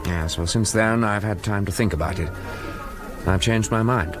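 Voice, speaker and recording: A man's voice: on the low side, moderate pace, over music, close to an everyday voice. A British man in a radio drama playing a brusque, critical businessman who runs a firm. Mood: cool, dismissive. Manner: curt, critical.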